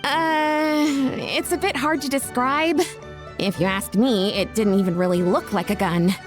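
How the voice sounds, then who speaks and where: A woman's voice: on the high side, fairly even intonation, measured pace, over music, close to an everyday voice. A woman voicing an earnest, modest young female event organizer in a game, easily flustered and effusive. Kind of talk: English game dub acting.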